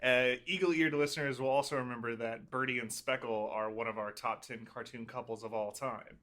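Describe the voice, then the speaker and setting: A man's voice: medium pitch, fairly even intonation, a little fast, fairly bright tone. A man in his late twenties co-hosting a podcast, chatting casually with dry, wry asides. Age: 20s. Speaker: podcast co-host. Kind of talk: casual chat.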